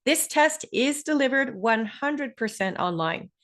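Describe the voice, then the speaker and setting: A woman with a Canadian accent explains things clearly and deliberately as a webinar presenter, stressing key terms. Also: high-pitched, expressive, a bit bright. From Ontario, Canada.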